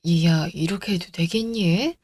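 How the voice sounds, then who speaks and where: Woman acting gentle, worried middle-aged mother. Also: fairly low pitch, a little slow, loud music behind the voice, pitched below the performer's own voice, close to an everyday voice. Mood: worried, hesitant. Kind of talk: radio drama story acting.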